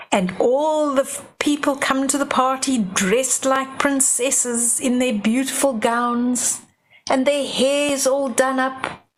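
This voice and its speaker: A woman's voice: a little high, a little hiss or hum, poor audio. An older woman reading a children's bedtime story aloud, expressively, with chatty exclamations over the pictures.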